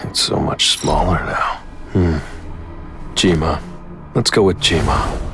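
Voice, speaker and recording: Very deep, terse young hunter. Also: male voice, steady tone, takes it slowly, over music, full character voice. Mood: calm musing. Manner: terse, cool, understated. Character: stoic young male hunter commanding shadow soldiers. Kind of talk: anime game cutscene acting.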